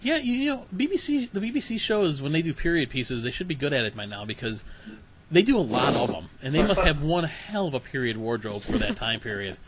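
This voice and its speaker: A man's voice: fairly high pitch, very animated, fairly quick, a bit bright, a little hiss or hum. A man hosting a podcast, chatty and agreeable. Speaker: podcast host. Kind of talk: casual podcast chat.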